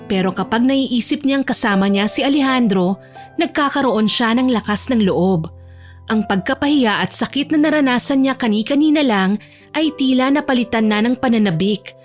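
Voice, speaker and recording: High-pitched woman narrating over music. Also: expressive, moderate pace. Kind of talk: scripted story narration. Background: soft music.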